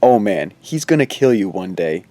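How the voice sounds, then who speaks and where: A man's voice: deep voice, big pitch swings, moderate pace, dark tone, no room sound. An actor playing a theatrical, uneasy male follower in an audio drama. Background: music behind the voice.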